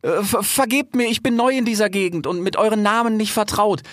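Man playing a stammering, high-voiced polite little fox. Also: expressive, a little fast, dry recording, studio quality. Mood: nervous, persuasive. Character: timid, clever, polite young grey fox, male.

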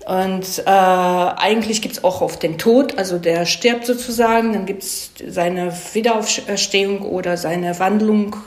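A woman's voice: mid-range voice, fairly even intonation, unhurried, hardly any pauses. A woman explaining her craft conversationally as an interviewed screenwriter, feeling for phrases and often ending on tag questions. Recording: roomy, echoey sound.

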